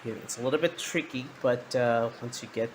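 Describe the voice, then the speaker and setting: A man narrating a hands-on toy review in a halting way, often repeating his words. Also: mid-range voice, slight background noise.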